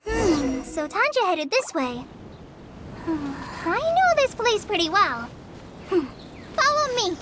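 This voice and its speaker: A woman's voice: extremely high pitch, very animated, slow pace, long pauses, very noisy, exaggerated voice. A woman voicing an earnest, shy teenage girl forest ranger in a video game, polite and hesitant. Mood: pondering. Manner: hesitant, polite, stammering when flustered.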